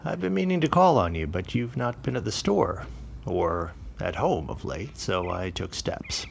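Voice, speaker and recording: Earnest, gravelly, low-voiced newspaperman. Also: sing-song, unhurried, slight background noise, full character voice. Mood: courteous, probing. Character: earnest, courteous, suspicious newspaperman. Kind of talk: period audio drama acting.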